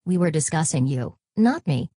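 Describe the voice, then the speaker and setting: A woman playing an old therapist chatbot who answers flatly and turns every question back on the asker. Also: low voice, moderate pace. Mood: deadpan. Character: literal-minded early therapist chatbot, female.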